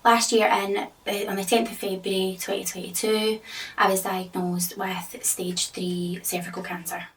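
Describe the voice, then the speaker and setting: A thirty-year-old woman talks hesitantly, with ums between phrases. Her voice stays flat in pitch. She is recorded from a little way off the mic. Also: measured pace, far from the mic, rough recording. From Scotland. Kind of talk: personal testimony.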